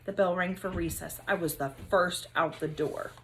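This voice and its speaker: A woman teacher reading a children's story aloud, expressive and with dramatic emphasis. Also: on the low side, normal speed, a little hiss or hum.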